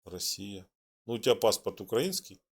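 A man's voice: fairly low pitch, sing-song, normal speed, breathy edge. A man from Donetsk hosting a chat-roulette talk, needling and mocking the person he talks to. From Donetsk, Ukraine. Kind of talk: argumentative casual chat.

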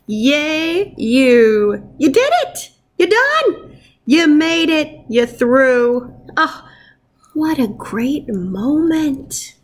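A woman in her thirties with a fairly high voice speaks like a primary school teacher addressing small children. Her delivery is slow, expressive and encouraging. She sounds informal, as if recording a message on a webcam at home. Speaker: first grade teacher. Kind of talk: warm informal message to pupils and picture-book read-aloud. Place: wood-ceilinged room at home, indoors. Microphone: webcam at arm's length.

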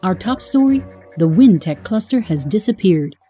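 An actress playing a female newscaster from the future, reading the bulletin in a formal, even manner. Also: medium pitch, moderate pace, faint music behind, lightly acted. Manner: formal, even newsreader delivery. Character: female future news anchor, crisp and formal. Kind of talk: broadcast news reading in a radio drama.

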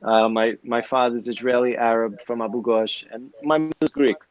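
A man's voice: mid-range voice, steady tone, moderate pace, no room sound. A man, a therapist guest answering at length with many fillers and restarts on a video call. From New York City. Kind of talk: conversational interview answers. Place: indoor office, video call. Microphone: earbuds on a video call. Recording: dull, dry video call audio.

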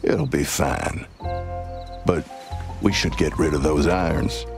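An actor playing a weary, menacing old Western marshal in a video game, speaking in a slow, dry, deliberate and gravelly way. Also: male voice, rumbling low, music in the background, heavily acted. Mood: calm, instructive. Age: older.

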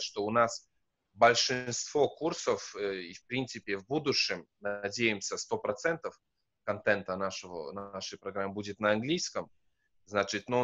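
Non-native man, 30s, professor guest; low-pitched, dull call audio, hesitant delivery. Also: a bit bright. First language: not Russian. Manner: hesitant, many fillers and restarts. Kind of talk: video call interview. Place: wood-panelled room indoors. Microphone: video call.

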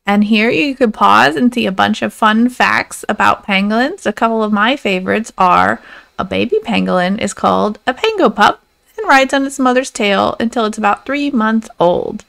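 A woman's voice: mid-range voice, moderate pace, creaky, a bit bright. A woman reading a picture book aloud and talking to children as their story reader. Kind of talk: read-aloud narration for children.

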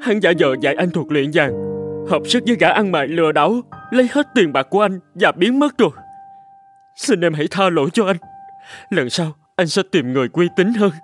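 An actor playing a naive, obsessed young husband in an animated folk tale, earnest and pleading, stammering when flustered. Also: male voice, high-pitched, fairly quick. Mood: apologetic, insistent. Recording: music bed under the voice.

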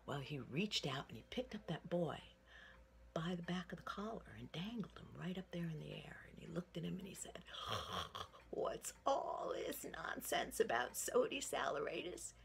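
A woman in her sixties telling a children's story in a dramatic, folksy way with emphatic pauses. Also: on the low side, lively intonation, creaky, slight background noise. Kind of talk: animated oral storytelling for children. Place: indoor room with wooden ceiling. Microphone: phone at arm's length.

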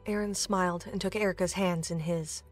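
A woman's voice: lowish voice, steady tone, fairly quick, faint music behind. A woman reading scripted narration for an audio drama, as a storyteller.

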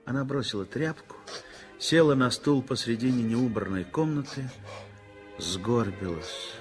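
A man narrating a 1960s radio play in a measured, sorrowful way. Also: mid-range voice. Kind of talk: scripted literary narration. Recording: vintage 1960s radio recording with faint music.

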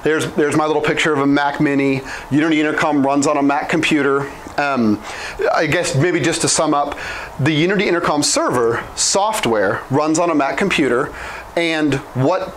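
A man in his thirties explaining software licenses at a whiteboard, talking quickly and informally with frequent restarts and self-corrections, on a lapel mic in a small room. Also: a little high, slight background noise. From Oklahoma. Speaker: product trainer.

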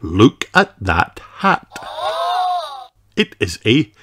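Very slow, very lively storybook reader. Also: medium pitch, over music. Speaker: children's book reader. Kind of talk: slow read-aloud storytelling for young children.

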